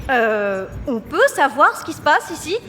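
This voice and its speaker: A no-nonsense military leader, acted by a woman, speaks in a high voice. She is commanding, brisk and deadpan, as in a comic sci-fi radio play. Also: faint music behind. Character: bossy, no-nonsense woman lieutenant leading a squad. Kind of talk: comic sci-fi audio drama.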